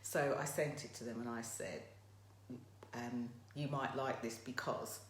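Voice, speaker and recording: Woman, croaky, chatty livestream host, motivational talk, echoey room, faint background noise. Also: extremely low pitch, steady tone, measured pace. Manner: chatty, addresses viewers directly. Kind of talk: casual live motivational talk to viewers.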